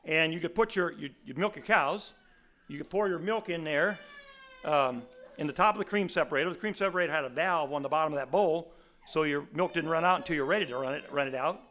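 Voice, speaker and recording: Older guy preaching, raspy, kinda high and sharp sounding, rambly folksy stories. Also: brisk, a little hiss or hum. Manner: folksy storytelling, many restarts. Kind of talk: sermon.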